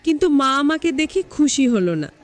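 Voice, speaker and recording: Female story narrator, high register, very lively intonation, scripted first-person delivery. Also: normal speed, soft background music.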